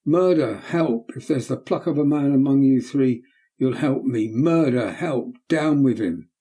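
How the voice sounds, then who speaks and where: A man's voice: expressive, a little fast, warm tone, close to an everyday voice. A man voicing a frantic, defiant young boy who screams for help in an audiobook reading. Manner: shrill, screaming. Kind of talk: audiobook character voice.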